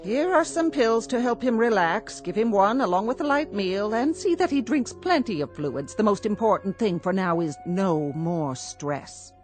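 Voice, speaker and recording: Casting: actress playing professional doctor, medium pitch, measured clinical delivery, varied intonation, radio play. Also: female voice, big pitch swings, darkish tone, faint music behind, close to an everyday voice. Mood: measured, tactful. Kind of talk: radio drama acting.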